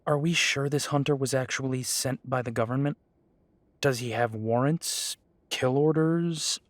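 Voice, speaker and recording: A young man plays an earnest young werewolf about to lead his pack, deferential to his elders. He speaks slowly and deliberately into a close mic with a dry, dead sound. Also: mid-range voice, no echo, close to an everyday voice. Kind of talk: amateur audio drama acting.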